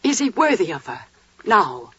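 A woman's voice: mid-range voice, sing-song, normal speed, bright, forceful tone, breathy edge, no room sound, full character voice. An actress playing an earnest, resolute mother in a biblical 1940s radio drama, with solemn, dramatic delivery. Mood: solemn, urgent. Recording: muffled 1940s radio recording.

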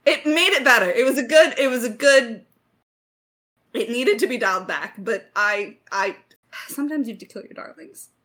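A woman's voice: a little high, moderate pace, bright, forceful tone. A woman telling stories casually as a writer in a creator Q&A, with playful mock-dramatic emphasis. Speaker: lead writer of an audio drama.

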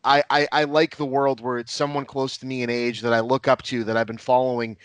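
A man in his thirties hosts a podcast, leaning into the conversation with eager enthusiasm and tripping over his first words. His voice sits at a middle pitch and sounds close and dry. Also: little pitch movement, brisk, clear, resonant tone, no room sound. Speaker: podcast host. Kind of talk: casual interview.

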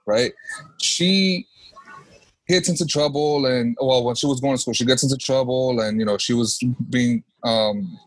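A man's voice: mid-range voice, breathy edge, dry recording, poor audio. A man in a casual group discussion, talking emphatically in slangy street talk with swearing. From grew up in a US inner-city neighborhood, Dominican background. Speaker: panelist.

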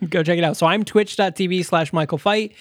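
A man's voice: sits high, a little fast, no echo, studio quality. A man co-hosting a podcast, chatting casually and plugging things in an upbeat, informal way. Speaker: podcast co-host. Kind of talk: casual promotional podcast chat.

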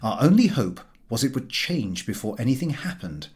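Guy narrating a funny old novel, expressive storyteller, medium pitch that moves around a lot. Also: normal speed. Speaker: audiobook reader. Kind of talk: scripted comic literary narration.

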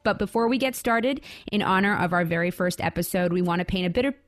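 A woman's voice: fairly low pitch, quick pace, soft background music. A young woman with a Floridian American accent co-hosting a podcast, upbeat and chatty. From England. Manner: upbeat, chatty, laughs easily. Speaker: podcast co-host. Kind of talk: casual chat.

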